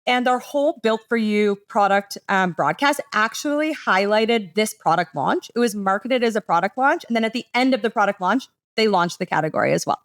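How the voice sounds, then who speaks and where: A woman's voice: mid-range voice, lively intonation, brisk, hardly any pauses. A woman giving a conversational business presentation as a podcast panelist, explaining things with enthusiasm in casual phrasing.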